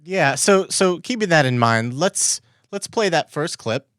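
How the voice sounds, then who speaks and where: A man's voice: on the high side, expressive, unhurried, a bit bright, no echo. Informal male podcast host, dry sound. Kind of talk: casual interview chat.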